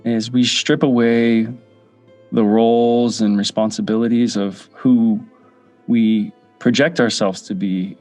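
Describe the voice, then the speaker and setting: A man guiding a meditation, speaking in short measured phrases with pauses between them. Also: medium pitch, darkish tone. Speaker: meditation guide. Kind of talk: guided meditation. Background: background music.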